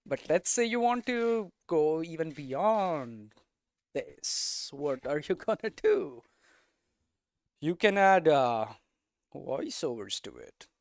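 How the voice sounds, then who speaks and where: Slow, clear-voiced man explaining. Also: fairly high pitch, sing-song, no room sound. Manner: asks rhetorical questions and answers them. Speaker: presenter of a game development explainer series. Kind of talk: informal explanatory lesson.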